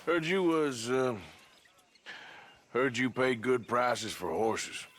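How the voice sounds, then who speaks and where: Unhurried, dry Old West outlaw. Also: male voice, medium pitch, expressive, fairly bright tone. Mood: businesslike. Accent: American. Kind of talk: dramatic video game acting.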